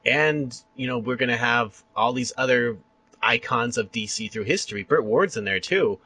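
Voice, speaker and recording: A man's voice: mid-range voice, expressive, gravelly, sharp tone, slightly rough, no echo, low-quality recording. A man hosting a podcast interview, chatting casually and enthusiastically in a rambling, fannish way. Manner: enthusiastic, rambling, quick to laugh. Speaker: podcast host interviewing a guest. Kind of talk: casual fan chat interview.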